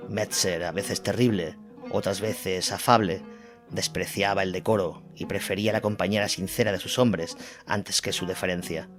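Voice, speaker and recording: A male narrator recounts a war story in the first person. It is a scripted read, set against clearly audible instrumental music. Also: low voice, fairly even intonation, normal speed.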